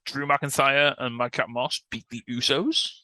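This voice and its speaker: Guy doing casual podcast news chat, crisp bright voice, dry close sound, bit sweary. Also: mid-range voice, steady tone, unhurried, dry recording. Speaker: podcast host.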